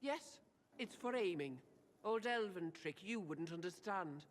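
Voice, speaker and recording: A woman's voice: fairly low pitch, animated, measured pace, long reverb tail, slight background noise. An actress playing a coy female elf in a video game, speaking with mock innocence. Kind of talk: video game character dialogue.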